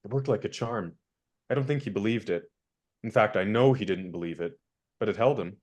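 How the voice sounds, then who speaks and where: An actor playing a genial, gallant young gentleman adventurer in a radio play, courteous and wry in measured speech. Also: lowish voice, natural voice.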